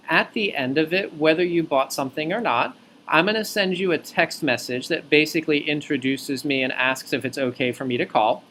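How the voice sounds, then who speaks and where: A man working as a recruiter on a phone interview, explaining things clearly point by point in an articulate way. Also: fairly high pitch, a bit bright, a little hiss or hum. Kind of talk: explanatory job interview talk.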